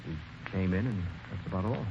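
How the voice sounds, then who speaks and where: Stammering murder suspect, 1940s radio, hissy dull recording. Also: male voice, low-pitched, normal speed. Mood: nervous. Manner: stammering and jittery. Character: nervous, defensive male former employee. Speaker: actor.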